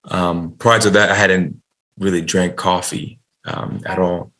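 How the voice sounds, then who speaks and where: Very deep-voiced young man from Uganda. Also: flat delivery. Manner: halting, many restarts and fillers, soft-spoken. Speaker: guest, coffee company founder. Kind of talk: casual interview storytelling.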